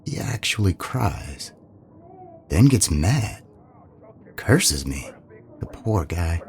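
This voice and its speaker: An actor playing an earnest seventeen-year-old farmhand who narrates in a reflective, plainspoken way, as in a scripted audio drama. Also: male voice, low-pitched, sing-song, extremely slow, exaggerated voice. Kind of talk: scripted first-person narration.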